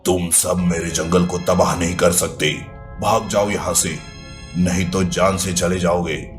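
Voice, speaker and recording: Male narrator putting on a low-voiced robot jungle protector, commanding, threatening, cartoon story, distant, loud music behind. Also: flat delivery, measured pace. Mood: stern warning. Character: stern magical tractor robot, guardian of the jungle. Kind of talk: animated children's story.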